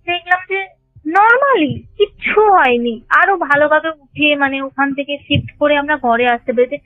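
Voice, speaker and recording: Woman caller, high voice, nervous and rambling, phone-line sound, faint music under. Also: moderate pace, no room sound. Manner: fearful, earnest, rambling retelling. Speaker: caller telling a ghost experience. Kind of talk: phone-in storytelling. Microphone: phone call.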